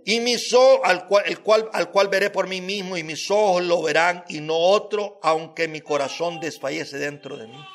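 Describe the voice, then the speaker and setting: A male preacher with a notably high-pitched voice delivers a sermon. He speaks emphatically, restating phrases and building his points through repetition and rhetorical questions. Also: moderate pace, few pauses.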